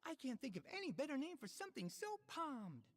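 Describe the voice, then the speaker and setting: A woman's voice: sits high, sing-song, brisk. A woman playing a proud, earnest, easily indignant character in a video game, explaining things emphatically.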